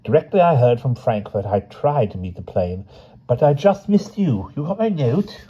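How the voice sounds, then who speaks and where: A man's voice: medium pitch, very animated, moderate pace, mellow, husky, highs cut off, voice pushed up. A man voicing a fussy, eager young male official who gushes apologetically, partly in a put-on Austrian accent. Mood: apologetic. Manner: apologetic and gushing.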